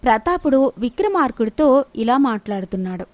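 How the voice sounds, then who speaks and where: Female storyteller, high voice, close dry recording, scripted narration. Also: very animated, fairly quick, a little mellow, no echo.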